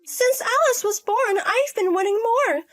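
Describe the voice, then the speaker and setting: A woman's voice: extremely high pitch, a little slow, a bit bright, soft background music, pitched above the performer's own voice. A woman voicing a selfish, irresponsible young single mother in a story drama, wheedling and petulant. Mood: airy, defensive. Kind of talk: acted dialogue in a narrated drama story.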